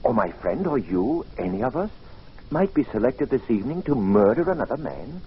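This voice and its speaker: An actor playing an upright, horrified army major in an old-time radio drama, agitated and emphatic. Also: male voice, mid-range voice, sing-song, normal speed, natural voice. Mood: appalled. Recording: dull, hissy vintage radio recording.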